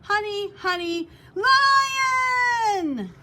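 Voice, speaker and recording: A woman's voice: very high voice, lively intonation, drawn-out words, bright, forceful tone, a little hiss or hum, pitched above the performer's own voice, heavily acted. A woman voicing a greedy, gullible male honey badger in a storybook, exclaiming in a singsong. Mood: triumphant.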